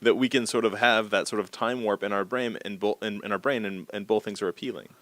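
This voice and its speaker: Bright, punchy man interviewing. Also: on the low side, fairly even intonation, quick pace, dry recording. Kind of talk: casual conversational interviewing.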